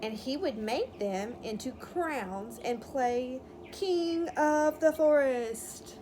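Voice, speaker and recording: A woman teacher reading a picture book aloud to young children, pausing to explain words simply and ask questions. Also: sits high. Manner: instructive, explains words simply, checks understanding. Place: outdoors. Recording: clearly noisy outdoor ambience, wind chime. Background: birds chirping, wind chime.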